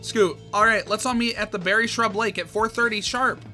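Male reader as a bold tomboy filly, brash, high-pitched. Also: fairly even intonation, fairly quick, bright, forceful tone, soft background music. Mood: eager. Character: tomboyish young filly pony, bold and reckless.

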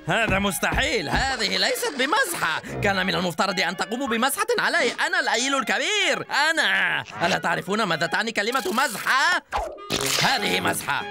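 A man's voice: sits high, vocal fry, exaggerated voice. A man voicing a pompous, blustering moose scoutmaster in a cartoon dub. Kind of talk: Arabic cartoon dub acting.

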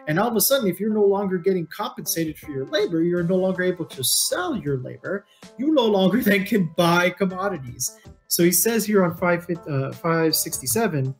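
A man in his thirties co-hosting a discussion, explaining things casually and informally with free use of swearing, recorded on a headset in a room. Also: high voice. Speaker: co-host of a read-along book discussion show. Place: indoor room, remote video call.

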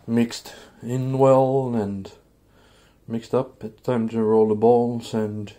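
Man, mellow dark tone, laid-back, hesitant phrasing, casual home cooking narration, indoor kitchen. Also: medium pitch, level tone, takes it slowly. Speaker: home cook, video host.

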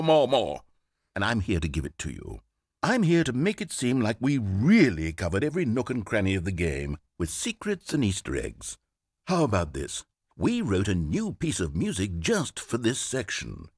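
An actor playing a fussy, condescending, melodramatic game narrator, speaking in an articulate, emphatic storybook style. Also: male voice, medium pitch, darkish tone, no room sound, clean studio sound, heavily acted. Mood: mock frenzy.

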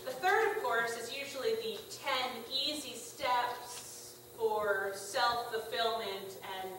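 A middle-aged woman pastor giving a conversational, gently humorous sermon in a small church. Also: fairly high pitch, slow pace, a little hiss or hum. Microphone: far room camera. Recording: echoey church from far off.

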